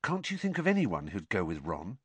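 A man's voice: a little high, sing-song, moderate pace, a bit bright, breathy edge, dry recording, pitched above the performer's own voice, full character voice. A man voicing an awkward, earnest teenage schoolboy with a direct, understated manner in a storybook. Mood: casual.

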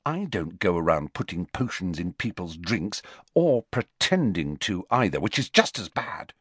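A man's voice: low-pitched, big pitch swings, husky, no echo, full character voice. A man voicing a clever, bossy teenage schoolgirl who lectures scornfully. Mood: cool. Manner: brisk and lecturing.

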